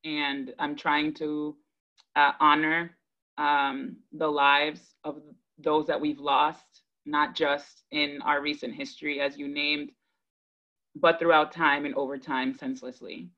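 A woman in her thirties, from the Dominican Republic and raised in Boston, telling her personal story to an online audience in an earnest, measured, thoughtful way. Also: deep voice, flat delivery, bright, forceful tone. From Dominican Republic, raised in Boston from age five. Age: 30s. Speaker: guest speaker, education advocate. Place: indoors on a video call. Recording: dull, lo-fi video call audio.

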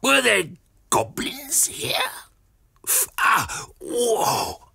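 A morose, drunken gravedigger in an audio drama. The voice is very hoarse and creaky, swinging wildly in pitch as he gasps out slow, bewildered, frightened words. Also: huge pitch swings, bright, forceful tone, no echo, full character voice. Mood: dazed. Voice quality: raspy, gravelly. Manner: halting, gasping exclamations.

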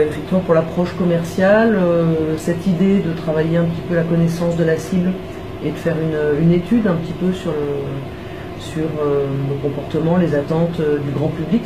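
A woman's voice: sits low, noisy background. A woman on a jury giving polite formal feedback on a thesis, hesitating often with fillers. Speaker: jury member. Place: office meeting room.

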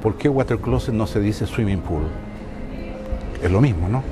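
A man in his sixties with a Chilean accent, talking playfully and with many hesitations in a relaxed interview on an outdoor city balcony. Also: fairly low pitch, moderate pace, over music. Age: 60s. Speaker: interviewee, filmmaker.

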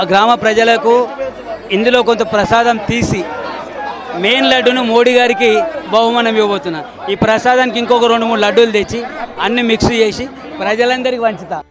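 A man giving a statement to reporters. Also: high voice. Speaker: auction winner being interviewed. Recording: outdoor crowd noise with music.

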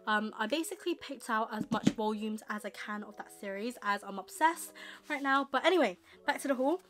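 A young woman with a slightly husky voice from a tickly throat, rambling casually through a manga haul in her bedroom. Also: a little high, expressive, very noisy. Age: young adult. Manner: rambling, chatty, quick asides. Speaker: manga vlogger. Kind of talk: casual chatty haul vlog. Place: bedroom with manga shelves, indoors.

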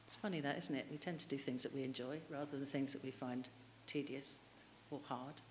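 Low-voiced woman preaching in a church. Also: steady tone, brisk. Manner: earnest, asks the congregation questions. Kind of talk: sermon. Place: church, indoors, at a lectern. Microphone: lectern mic. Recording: reverberant church hall, light hiss.

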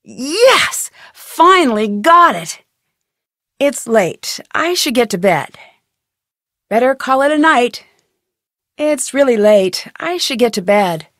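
A woman with an American accent playing a plucky, curious teenage girl detective in a video game, thinking aloud in a clear, chipper way. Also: high voice, big pitch swings, slow pace, pauses often, fairly bright tone, no echo, studio quality, full character voice. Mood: cheerful, excited. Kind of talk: scripted video game voice lines.

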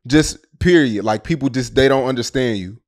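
Male interviewer, medium pitch, informal and slangy, putting questions to a guest. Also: moderate pace.

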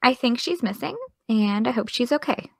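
A woman's voice: on the high side, lively intonation, moderate pace, creaky, dry recording. A young actress playing an exasperated, defensive young woman with dry comebacks in an audio drama. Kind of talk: audio drama dialogue of a video call.

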